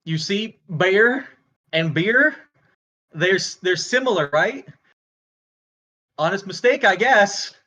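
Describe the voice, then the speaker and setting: A man playing a volatile, failing stand-up comedian in a screenplay read, halting, stammering and exasperated. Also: high voice, lively intonation, sharp tone, dull audio, exaggerated voice. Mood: awkward. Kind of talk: dramatic screenplay table read, stand-up monologue.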